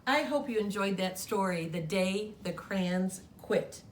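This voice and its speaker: A woman's voice: medium pitch, unhurried, distant mic, slight background noise. A woman who works at a school, reading a children's picture book aloud. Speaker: story reader, school staff member.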